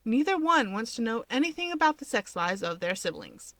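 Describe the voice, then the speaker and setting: A woman reading scripted story narration in an expressive manner. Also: on the high side, brisk, dry recording. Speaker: fan fiction reader.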